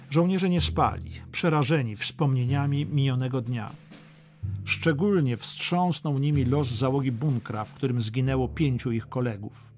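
A man's voice: medium pitch, darkish tone. A man narrating a dramatic history audiobook from a script.

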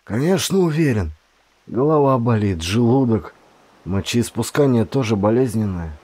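A man's voice: mid-range voice, animated, moderate pace, dark tone, breathy edge, no room sound, voice pushed up. A man voicing a sick young man in an audiobook, stubbornly stoic and needling his friend.

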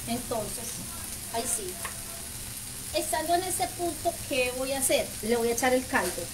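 Distant, high-voiced Colombian woman cooking at home. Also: animated, normal speed. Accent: Colombian, Paisa. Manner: chatty, repeats words for emphasis. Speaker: home cook, video host. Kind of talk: casual live cooking demonstration. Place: home kitchen, indoors. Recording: clattering kitchen noise, distant microphone.

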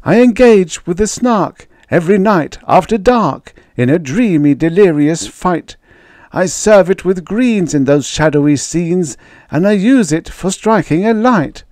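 A man's voice: sing-song, unhurried, a little mellow, no echo, studio quality. A man voicing a mild, timid baker in a verse reading, in a raised, quavering, pleading voice. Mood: fearful. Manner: high, quavering, pleading, fretful. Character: timid, tearful middle-aged male baker.

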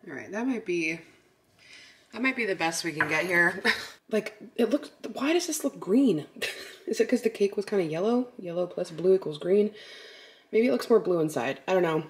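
A woman's voice: fairly low pitch, expressive, normal speed, slight background noise. A woman vlogger chatting casually through a baking project at home, talking through each step and giggling often. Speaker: lifestyle vlogger. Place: home kitchen.

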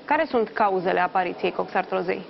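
A young woman presenting a morning news show from a TV studio, reading a clear scripted intro and asking interview questions. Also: medium pitch, highs cut off, a little hiss or hum. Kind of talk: live TV interview.

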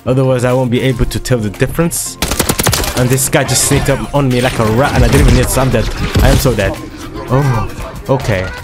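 Hoarse gamer dude hyping his own kills, mid-pitched voice, slangy banter, loud music behind. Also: brisk. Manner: excitable, slangy trash-talking banter. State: slightly hoarse, dry throat. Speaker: gaming video creator. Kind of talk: live gameplay commentary. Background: loud background music and gunfire.

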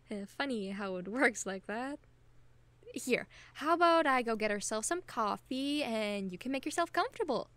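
Chatty, gently teasing young woman, acted. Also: fairly high pitch, animated, measured pace, dry recording. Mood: relaxed, slightly flustered. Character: friendly, caring, teasing young woman hosting her crush. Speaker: voice actor in an audio roleplay. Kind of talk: intimate ASMR-style audio roleplay, casual one-sided chat.

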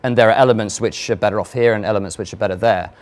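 A man's voice: on the low side, steady tone, very fast. A young man with a London accent, speaking earnestly as a guest on a studio panel discussion. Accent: London English. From London, England. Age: young adult. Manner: earnest, reflective, gestures with hands. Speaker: vlogger and model, panel guest. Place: studio panel stage with audience.

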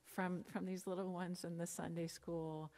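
A middle-aged American woman with a low voice preaching a sermon from a church pulpit. She talks conversationally, with asides and emphatic lists, into a lapel mic. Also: little pitch movement, normal speed, a little mellow. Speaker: pastor.

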